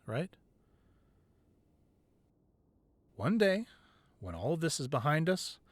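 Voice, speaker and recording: A man playing a weary but devoted, protective crime boss boyfriend in an intimate ASMR roleplay, speaking softly and close, at times breathy. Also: mid-range voice, dry recording, heavily acted. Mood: earnest, tender. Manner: soft, intimate, drifting into breathy whispers.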